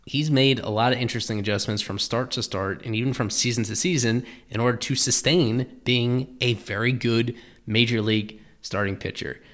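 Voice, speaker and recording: A man giving analytical commentary on a baseball pitcher, thoughtful and explanatory, often restarting his phrases. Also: a bit bright.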